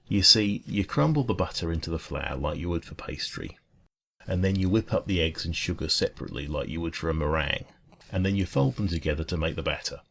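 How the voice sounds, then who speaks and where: A man plays a friendly male dragon who keeps a little diner, in a deep voice with plenty of gravel. He speaks in a caring, conversational ASMR style, soothing and encouraging. Faint noise and café ambience sit behind the voice. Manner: gentle, reassuring, conversational. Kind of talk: ASMR roleplay.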